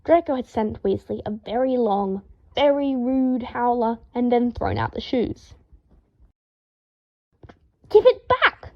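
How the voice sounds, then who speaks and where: A woman reading fan fiction aloud as an audiobook, telling the story in a lively, dramatic way. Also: a little high, a little slow, dull audio. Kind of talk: expressive audiobook narration.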